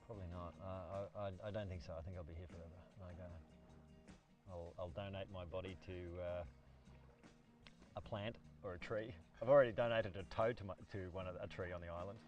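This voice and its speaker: An Australian man chatting casually in an interview, laid-back and halting, with chuckles between phrases. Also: low-pitched, steady tone, distant mic, over music. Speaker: island guide and ranger being interviewed. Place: outdoors on a lake beach.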